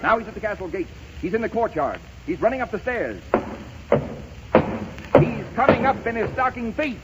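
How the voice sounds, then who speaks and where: An actor playing a wisecracking royal chancellor in a 1930s radio comedy sketch, announcing like a racetrack caller, on a dull, very noisy old broadcast. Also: male voice, sits high, expressive, moderate pace. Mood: mock urgent.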